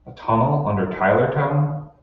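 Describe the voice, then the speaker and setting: A man's voice: mid-range voice, normal speed, soft background music, natural voice. A man playing a bewildered, skeptical everyman husband in an old-time radio drama, puzzled and questioning. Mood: skeptical, startled. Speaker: amateur stage actor. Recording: roomy, distant, dull hall recording with hiss.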